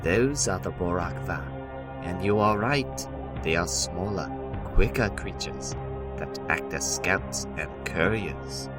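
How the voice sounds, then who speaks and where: A man playing a grave, wise old village elder, speaking solemnly in a fantasy audio drama. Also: deep voice. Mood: solemn, explaining. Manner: solemn, measured, portentous. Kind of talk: fan audio drama acting. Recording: loud music under the voice.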